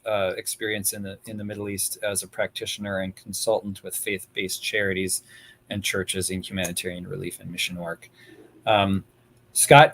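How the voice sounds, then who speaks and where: A man hosting a panel discussion who introduces guests in a friendly, conversational way. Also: on the low side. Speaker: host and moderator.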